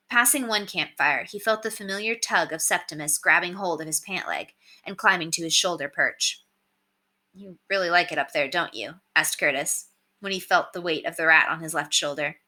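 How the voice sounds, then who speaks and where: A woman reading a children's novel aloud as scripted narration, in an expressive storytelling manner. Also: on the low side. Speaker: storybook reader.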